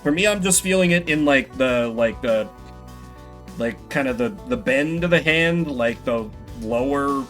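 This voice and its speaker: A man's voice: mid-range voice, lively intonation, unhurried, a bit bright. A young adult man streaming a game blind, rambling with swears and self-deprecating jokes. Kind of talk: blind let's-play commentary. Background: game music.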